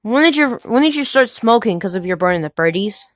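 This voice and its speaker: A nineteen-year-old woman asking a steady run of quick, curious follow-up questions in a casual chat at home. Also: fairly high pitch.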